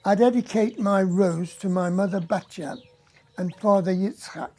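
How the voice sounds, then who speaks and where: A woman with a husky voice giving a quiet, grave first-person reading of a testimony. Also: mid-range voice, measured pace. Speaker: reader of a survivor's testimony. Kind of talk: read testimony.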